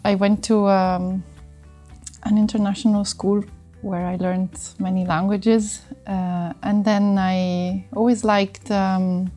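A woman's voice: mid-range voice, flat delivery, slow, mellow, faint music behind. A middle-aged Italian woman speaking English with an Italian accent as an interview guest, explaining things conversationally and searching for words now and then. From northern Italy. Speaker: interview guest, sports medicine doctor.

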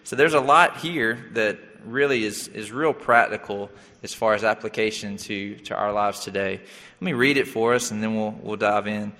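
Young pastor, man in his thirties, halting sermon delivery, bright tone, long hall reverb. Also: on the low side. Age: 30s. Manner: halting, with many fillers and restarts.

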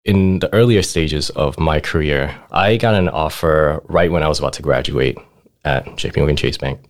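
A man in his thirties from Thailand with a strikingly deep, low voice. He tells his career story in a relaxed, chatty way, laughing often and filling his speech with "like" and "right?". He has the easy, rambling flow of a guest on a podcast. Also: normal speed. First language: Thai. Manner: laid-back, laughs often, lots of "like" and "right?". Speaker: guest, finance manager. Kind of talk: casual interview storytelling.

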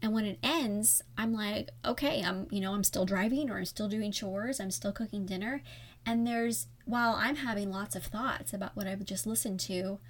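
A woman's voice: medium pitch, normal speed. A woman hosts her own podcast alone at the mic, with a soothing voice that listeners often praise. She talks in a candid, reflective, conversational way, as if chatting with a friend. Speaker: podcast host. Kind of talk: solo podcast talk.

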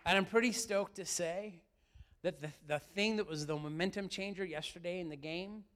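Brief: middle-aged male pastor, high voice, slightly raspy, lively sermon that keeps asking questions. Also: background noise. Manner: lively, asks the room questions often.

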